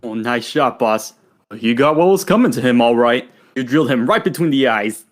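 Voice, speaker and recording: A man's voice: mid-range voice, animated, normal speed, natural voice. A man voicing a nervous, slangy gangster henchman in an old-time radio crime drama. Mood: gleeful. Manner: slangy, nervous, tough-talking. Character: jumpy, eager male gangster henchman, loyal to boss.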